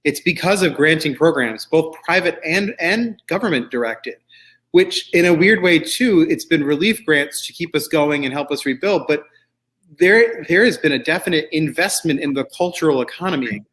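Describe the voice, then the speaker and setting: A man with a slightly high voice hosts a casual conversation. He sounds appreciative and encouraging, chatting through his points informally as he draws out his guests. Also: lively intonation, moderate pace, fairly bright tone. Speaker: talk show host.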